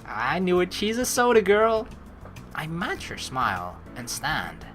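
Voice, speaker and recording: Lowish, very animated female let's player. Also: unhurried, over music. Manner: playful, expressive, acts out lines. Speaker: let's play host. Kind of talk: game playthrough, reading narration aloud with casual commentary.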